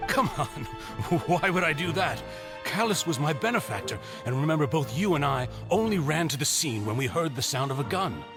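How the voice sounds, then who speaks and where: An affable accused man in a video game. His pitch swings animatedly and sits a little high as he pleads innocence in a casual, placating way. Music plays clearly behind him. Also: sing-song, normal speed. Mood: protesting. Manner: placating, casual, reasonable-sounding. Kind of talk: scripted game dialogue.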